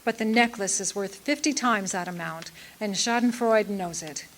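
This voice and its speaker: A woman's voice: medium pitch, normal speed, fairly bright tone, a little hiss or hum, lightly acted. A woman playing a poised, melodramatic femme fatale client in an old-time radio detective drama. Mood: indignant, urgent. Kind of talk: 1940s-style radio detective drama acting.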